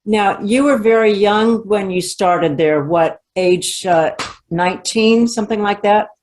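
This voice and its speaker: A woman's voice: mid-range voice, measured pace, a little mellow, slight background noise. Woman interviewer from Texas, mid-range voice. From Texas, USA. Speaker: talk show host. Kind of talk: conversational interviewing.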